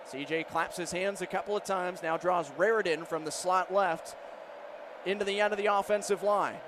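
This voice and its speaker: A high-pitched American man works as a radio football announcer. He describes each play crisply and builds to excited calls. Clear outdoor stadium noise runs behind his voice. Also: moderate pace. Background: outdoor stadium ambience.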